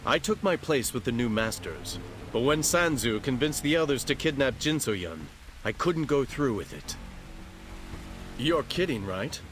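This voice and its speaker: A guarded martial arts master, swinging intonation. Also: male voice, mid-range voice, animated, measured pace, fairly bright tone, soft background music. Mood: earnest, regretful. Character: guarded, world-weary martial arts school master, male. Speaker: video game voice actor. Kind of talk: dramatic game cutscene acting.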